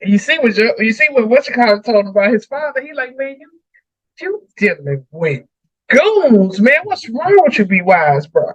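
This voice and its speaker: A man's voice: extremely high pitch, big pitch swings, normal speed, dry recording, highs cut off. A man talking casually through scripture as a co-host, emphatic and repeating phrases for weight. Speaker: co-host of a Bible read-along discussion.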